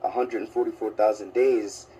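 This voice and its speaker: A very deep, dark-toned man sounds sick as he talks, and he hesitates often with fillers. Faint noise sits behind his voice. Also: fairly even intonation, moderate pace, poor audio. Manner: hesitant, lots of "um" and "like". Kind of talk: casual video commentary.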